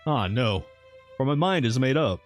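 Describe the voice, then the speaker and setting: A man reading aloud and voicing a brave, grief-stricken young woman in a storybook, pitching his voice up for her. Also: lively intonation, moderate pace, soft background music, close to an everyday voice. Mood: sorrowful resolve.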